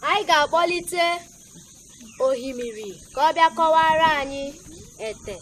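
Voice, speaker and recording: A woman's voice: very high voice, normal speed, a bit bright. A woman with a southeastern Nigerian accent, presenting a folk programme and introducing its speakers. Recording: very noisy, clicking background.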